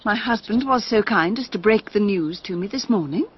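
An actress playing an aloof, unhappily married gentlewoman with a British accent, speaking in a measured, guarded, dramatic way in a radio drama. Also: mid-range voice, slightly rough, natural voice. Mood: cool, guarded. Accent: British English. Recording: muffled radio recording with noise, birdsong.

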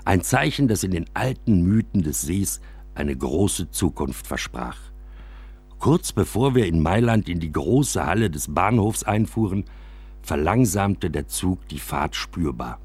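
Low husky guy wry storyteller telling his childhood for a radio play, really animated. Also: measured pace, full character voice. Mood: wry, expressive. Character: man recounting his railway-side childhood with wry exaggeration. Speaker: narrator. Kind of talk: scripted first-person radio play narration, storytelling.

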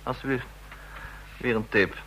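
An actor playing an ambitious, humourless young code officer in a Dutch radio drama, speaking in a stiff, insistent way. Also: male voice, medium pitch, measured pace, a bit bright, husky, low-quality recording. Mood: earnest, frustrated. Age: young adult.

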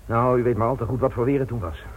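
A man acting a wealthy, imperious older village patriarch in a radio drama, guarded, haughty and self-justifying, with a raspy voice. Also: medium pitch, fairly even intonation, fast talker, a little hiss or hum, natural voice. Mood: explaining, agitated. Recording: dull lo-fi radio drama recording.

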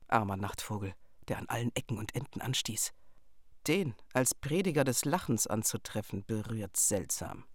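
A woman's voice: very deep voice, slightly rough, no echo, studio quality, full character voice. An actress playing a genteel, condescending lady of the house in a radio play, courteous and theatrical. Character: Cosima Wagner, genteel, condescending female hostess. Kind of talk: German radio play acting.

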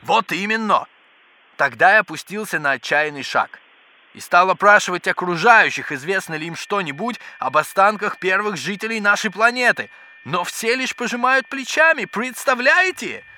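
An actor playing an excitable, pedantic scientist in a children's educational audio drama, with theatrical, exclamatory delivery. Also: male voice, sits high, normal speed, a little hiss or hum, full character voice. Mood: flustered, indignant. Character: excitable, bookish male scientist, absorbed in microbes. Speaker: scientist character.

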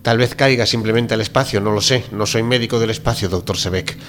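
A man's voice: lowish voice, a little fast, fairly bright tone, faint music behind, lightly acted. A man playing a courteous, fussy, deferential ship's doctor in a radio drama, speaking formally and politely. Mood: polite, apologetic. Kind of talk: amateur radio drama reading.

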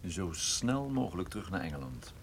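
A man acting as a wry, flirtatious private detective in a radio drama, conversational with small hesitant pauses. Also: sits low, sing-song, creaky, slight background noise, exaggerated voice. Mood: quiet, flirtatious.

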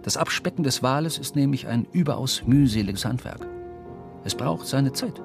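An actor playing a seafaring narrator, telling of whaling in measured, explanatory literary prose for a radio drama. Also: male voice, mid-range voice, animated, gravelly, music in the background. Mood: matter-of-fact.

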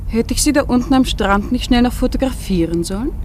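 An actress playing a naive, curt tour guide who speaks in a matter-of-fact, artless way. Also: female voice, on the high side, lively intonation, a little fast, darkish tone, a little hiss or hum, natural voice.

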